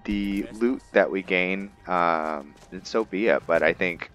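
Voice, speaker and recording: A man giving unscripted roleplay commentary over a video game, halting and deliberate, with long pauses. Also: deep voice, a bit bright, smooth, soft background music. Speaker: gamer hosting a roleplay playthrough.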